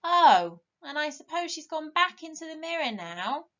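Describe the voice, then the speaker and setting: A woman's voice: high-pitched, very animated, normal speed, pushed and bright, lo-fi sound, pitched above the performer's own voice, full character voice. A woman voicing an exasperated, sceptical mother of a small girl in a children's storybook.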